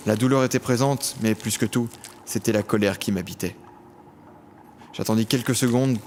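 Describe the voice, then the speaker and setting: A man's voice: fairly low pitch, a little mellow, a little hiss or hum, close to an everyday voice. A man playing a bitter, sardonic young criminal in an audio drama, acting and narrating in intense, literary phrasing. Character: bitter, sardonic young male criminal cursed with healing flesh.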